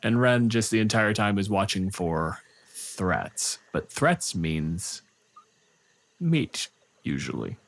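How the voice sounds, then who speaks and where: Slow, low-voiced man running a game. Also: very animated, gravelly. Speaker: game master. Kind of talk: narrating a tabletop game.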